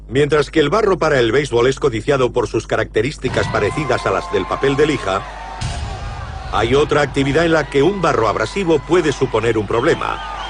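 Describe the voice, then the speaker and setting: Male documentary narrator over prominent music. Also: mid-range voice. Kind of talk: scripted narration.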